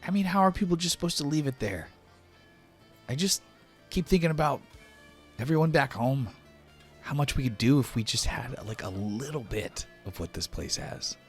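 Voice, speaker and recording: Man voicing Lortho, a pleading, higher-pitched little youngster. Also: animated, normal speed, pauses often, faint music behind, natural voice. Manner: earnest, hesitant, pleading. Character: Lortho, earnest small youngster with flicking ears, pleading.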